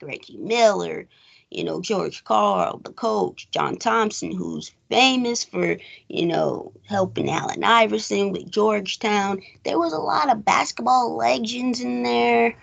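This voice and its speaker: A woman's voice: mid-range voice, measured pace, creaky, a bit bright, lo-fi sound. A woman hosting a casual film discussion, rambling conversationally and thinking aloud as she goes. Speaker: host of a film recap show.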